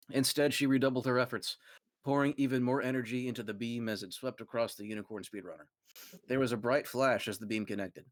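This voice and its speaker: A man's voice: medium pitch, steady tone, fairly quick, dry recording. A man reading fan fiction aloud in a dramatic storyteller's manner. His voice sits mid-range and is recorded dry and close, with no room sound. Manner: dramatic storytelling read aloud, occasional stumbles. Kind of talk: comic fan fiction narration.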